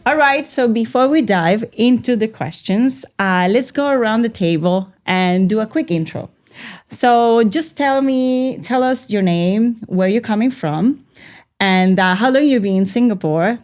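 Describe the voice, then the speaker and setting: A woman hosting a round-table podcast interview, upbeat and quick to laugh, with loose, filler-heavy phrasing. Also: on the high side, animated, darkish tone. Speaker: podcast host.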